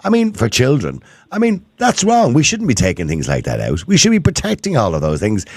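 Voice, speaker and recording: A man's voice: medium pitch, huge pitch swings, fairly quick, gravelly, darkish tone, no echo. An Irish man hosting a radio phone-in, talking emphatically, cutting in on callers, and voice jumping up when he is indignant. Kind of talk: opinionated talk radio chat.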